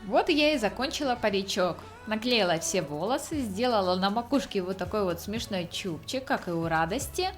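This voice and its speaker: A woman's voice: mid-range voice, expressive, moderate pace, hardly any pauses, faint music behind. A woman hosting a craft tutorial, explaining each step in scripted narration. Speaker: craft channel host.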